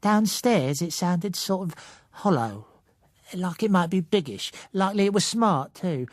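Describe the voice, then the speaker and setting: Man acting a plaintive old London woman, high-pitched. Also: animated, no room sound, studio quality. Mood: halting, insistent. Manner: colloquial, ungrammatical, halting. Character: huddled old blind woman, wary, plaintive, uneducated.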